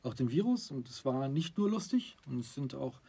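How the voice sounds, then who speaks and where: Husky middle-aged man, conversational. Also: mid-range voice, big pitch swings, moderate pace, dark tone. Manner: conversational, steers the topic. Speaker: podcast co-host. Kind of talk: casual chat.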